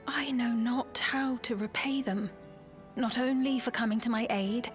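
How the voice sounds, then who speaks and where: An actress playing a gentle, formal young queen in a video game, speaking in measured, earnest, archaic phrases. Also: on the high side, fairly even intonation, soft background music, natural voice. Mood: grateful, composed. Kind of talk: scripted video game cutscene acting.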